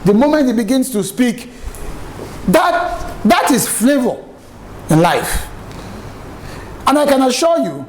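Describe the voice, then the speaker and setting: A Nigerian man in middle age gives a public speaking lesson, telling stories with emphatic energy. His voice sits fairly high and swings widely in pitch. A faint hum of room ambience sits beneath him. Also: slow, long pauses, poor audio. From Nigeria, raised in Lagos. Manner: animated, emphatic storyteller. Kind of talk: lively spoken lecture with anecdotes.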